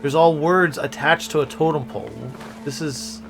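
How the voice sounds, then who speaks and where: A man doing casual livestream game commentary, musing aloud haltingly and breaking into exclamations. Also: a little high, steady tone, music in the background. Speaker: game streamer.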